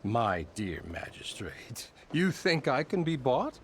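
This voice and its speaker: An actor playing a pompous, blustering middle-aged labor boss who talks in grand, flattering, evasive turns in a video game. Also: male voice, mid-range voice, takes it slowly, a little hiss or hum.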